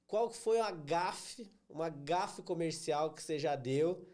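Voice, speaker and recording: Host, male, Santa Catarina accent, a bit high and mellow, has a cold, chatty storyteller. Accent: Santa Catarina Brazilian Portuguese. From Santa Catarina, Brazil. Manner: chatty, storytelling, slows down to be understood. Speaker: podcast host, sales trainer. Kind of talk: casual interview chat.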